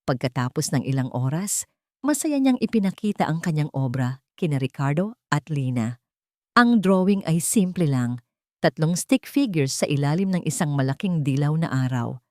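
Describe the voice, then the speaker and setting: Female storyteller for romantic audio drama, lowish voice, measured dramatic phrasing, dry studio-like sound. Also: animated, dark tone, no echo. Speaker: storyteller, narrator. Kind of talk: scripted narration of a sentimental audio drama.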